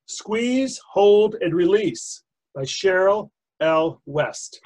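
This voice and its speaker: A man's voice: high-pitched, lively intonation, very slow, darkish tone. A man hosting a theatre reading, giving a scripted introduction over a video call. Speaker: host and director introducing readings.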